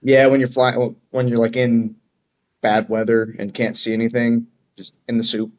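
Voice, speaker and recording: A man's voice: medium pitch, flat delivery, moderate pace, lo-fi sound. An American man talking as a podcast guest in casual chat, easygoing, plain-spoken and loosely phrased. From Dayton, Ohio, USA. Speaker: podcast guest, air traffic controller.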